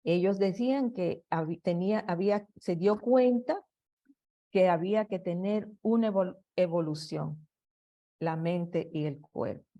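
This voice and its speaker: Slow, halting woman giving a lecture. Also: fairly low pitch, mellow, lo-fi sound. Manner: slow and halting, with restarts and fillers. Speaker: presenter. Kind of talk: slide presentation lecture.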